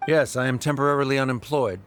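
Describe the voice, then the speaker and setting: A man voicing a stiff, middle-aged scholarly father in a video game, formal, measured and reserved. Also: medium pitch, a bit bright, slight background noise, close to an everyday voice. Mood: reserved, matter-of-fact.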